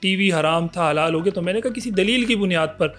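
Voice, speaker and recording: A man's voice: high-pitched, fast, a bit bright. A man hosting a podcast, putting challenging questions in a conversational way. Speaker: podcast host, interviewer.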